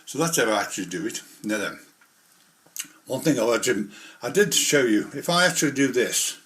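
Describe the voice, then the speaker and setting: A man's voice: medium pitch, expressive, fairly quick, a bit bright, a little hiss or hum. A man presenting an informal hobby tutorial, explaining things step by step in a rambling, hands-on way. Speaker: model railway hobbyist presenter.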